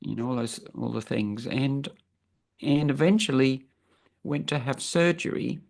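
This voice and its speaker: Croaky, gravelly woman in her sixties. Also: rumbling low, measured pace, darkish tone. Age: 60s. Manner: earnest, thinking aloud with many restarts. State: croaky from travel. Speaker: interview guest. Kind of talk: reflective personal conversation. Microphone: video call.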